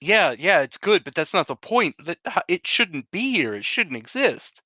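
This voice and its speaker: A man's voice: high-pitched, big pitch swings, moderate pace, pushed and bright, no echo. A man casually roleplaying and narrating his character's actions as a player in a tabletop game.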